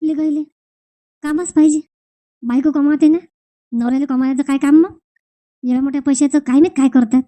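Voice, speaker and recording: A woman's voice: high-pitched, steady tone, fairly quick, long pauses, mellow, dry recording, close to an everyday voice. A woman voicing a lazy, sardonic husband muttering in a comedy cartoon. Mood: grumbling. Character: lazy, grumbling husband who refuses to earn. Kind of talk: comic cartoon voice acting.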